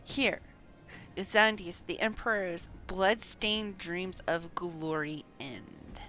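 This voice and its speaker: A stern fantasy game ally, read by a woman, resolute and declamatory. The words come slowly in a bright voice. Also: lowish voice, faint music behind.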